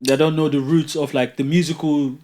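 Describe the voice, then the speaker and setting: Playful man with a highish voice. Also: fairly even intonation, fairly quick, darkish tone. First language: not English. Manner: playful, laughs often, puts on voices for jokes. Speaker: podcast co-host. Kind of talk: casual chat.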